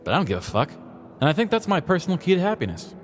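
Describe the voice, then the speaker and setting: A man's voice: a little high, wildly swooping pitch, very fast, pitched above the performer's own voice. Man voicing a brash, blunt slob woman. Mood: nonchalant. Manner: blunt, casual. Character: brash, careless slob woman, freeloading petty criminal.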